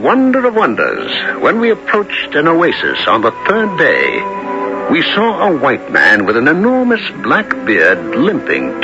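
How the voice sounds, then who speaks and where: Muffled old radio sound with music; seasoned, wry gentleman adventurer, swooping theatrical delivery. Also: mid-range voice. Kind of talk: 1970s radio adventure acting and first-person narration.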